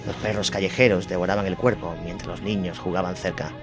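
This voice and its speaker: A man's voice: deep voice, steady tone, a little fast. A man narrating a scripted documentary account. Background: music under the voice.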